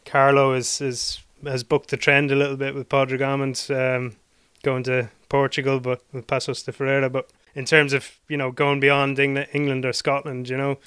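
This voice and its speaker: Interviewer, smooth and flat. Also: male voice, mid-range voice, no room sound. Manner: halting, hesitant questions. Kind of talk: interview questions.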